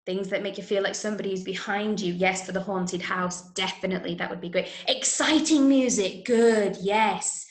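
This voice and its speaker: A woman with an English accent teaching an interactive online drama workshop, giving clear instructions and encouraging pupils as she repeats their answers. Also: medium pitch, fairly even intonation, normal speed, few pauses. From Manchester, UK. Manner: clear step-by-step instructions to children. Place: room, online video lesson. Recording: echoey room sound.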